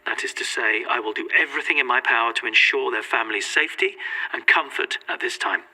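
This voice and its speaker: Sharp-voiced, guarded official. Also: male voice, medium pitch, quick pace, husky, exaggerated voice, low-quality recording. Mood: strained, polite. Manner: formal, evasive, politely clipped. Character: guarded, polished head archivist fielding press questions. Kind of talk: audio drama press conference statement.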